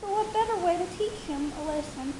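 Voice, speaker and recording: A woman plays a little pony schoolgirl with a high voice and a cold, calculating edge. She speaks with deliberate menace, as in a dark fan-made audio story, over a busy background. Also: a loud crowd, rough recording. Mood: menacing, scheming. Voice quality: high, girlish. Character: sinister young filly schoolgirl pony, cold and scheming. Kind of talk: dramatic fan fiction audio reading.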